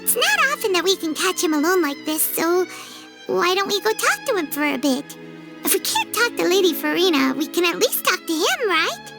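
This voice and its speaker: A woman voicing a small, chirpy, curious flying fairy companion in a video game, with a squeaky childlike voice and expressive delivery. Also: normal speed, full character voice. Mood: chatty. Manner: refers to self in third person, expressive. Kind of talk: video game character acting. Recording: background music under the voice.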